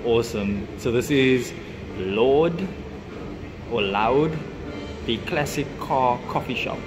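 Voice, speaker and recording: Young man, chatty and excited vlogger, phone-recorded, loud music behind. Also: mid-range voice, slow pace. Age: young adult. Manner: excited, chatty, filler-heavy. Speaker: vlogger reviewing a cafe. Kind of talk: casual vlog. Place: indoor cafe with classic cars. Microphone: phone camera at arm's length, no lapel mic. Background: loud music playing in the cafe.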